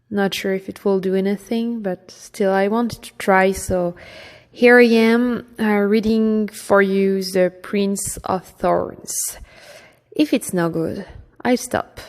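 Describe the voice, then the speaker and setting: Slow French-accented woman reading a novel. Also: medium pitch, a little mellow. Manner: slow and careful, with some stumbles. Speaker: amateur audiobook reader. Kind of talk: reading a fantasy novel aloud. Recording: long hall reverb.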